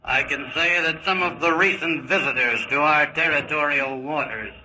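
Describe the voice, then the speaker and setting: An actor playing a confident, wry naval spokesman giving a measured official statement in a radio drama. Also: fairly high pitch, pushed and bright, poor audio. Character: confident, dry-witted American naval spokesman at press conference.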